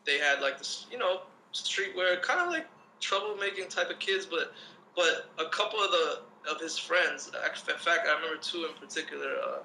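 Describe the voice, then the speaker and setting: Guy in his 30s, raised in the states, gravelly voice, rambly chill interview chat with lotsa "you know". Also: a little high, normal speed, pushed and bright, slight background noise, rough recording. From Lebanon. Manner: laid-back, rambling, many fillers like "you know what I'm saying". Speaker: guest, breakdancer.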